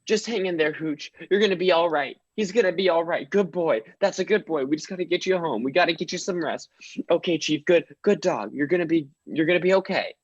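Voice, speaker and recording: A man's voice: a little high, brisk, dry recording, dull audio, close to an everyday voice. A man playing an earnest, dog-loving small-town detective in a comedic radio play. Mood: tender, anxious. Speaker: comedy troupe performer.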